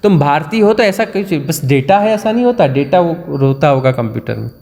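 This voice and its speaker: Male spiritual teacher, higher voice, mellow tone, repetitive discourse in a reverberant hall. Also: animated, a little fast, creaky. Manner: repetitive, mimics inner self-talk, rhetorical questions. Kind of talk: satsang discourse.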